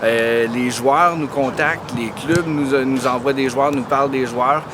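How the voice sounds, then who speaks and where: A man's voice: mid-range voice, steady tone, unhurried. A man speaking as a club representative, explaining steadily in an outdoor interview. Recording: noisy outdoor background.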